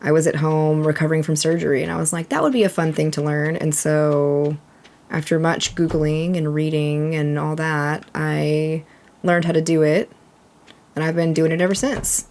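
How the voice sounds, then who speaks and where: A woman in her thirties from Texas chatting casually and relaxed while streaming a game, reading chat aloud. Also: sits low, normal speed.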